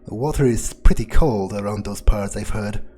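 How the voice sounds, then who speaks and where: Man, low pitch, very mellow tone, musing, hesitant, in-character game playthrough, faint music behind. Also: moderate pace. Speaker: let's player speaking in character as a vampire.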